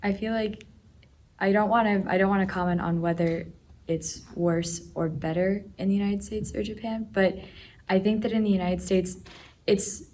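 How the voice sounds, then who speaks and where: A woman's voice: medium pitch, fairly even intonation, moderate pace, a little mellow, a little hiss or hum. A teenage female student speaking casually, with many "like"s. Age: teens. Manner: casual, frequent "like". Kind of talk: opinion statement in a discussion.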